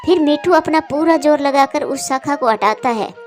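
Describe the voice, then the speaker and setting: A woman's voice: sits high, normal speed, soft background music. A woman narrator reading a scripted children's cartoon story.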